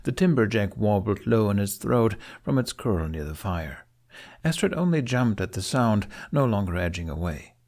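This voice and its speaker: A man's voice: on the low side, big pitch swings, moderate pace, darkish tone. A man reading scripted fiction aloud as an audiobook narrator.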